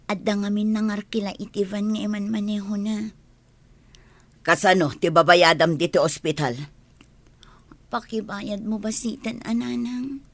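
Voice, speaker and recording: A woman's voice: mid-range voice, vocal fry, no room sound. A woman playing an emotional, strong-willed character in a family audio drama, reproachful and plaintive in delivery. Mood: pleading. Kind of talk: melodramatic audio drama dialogue.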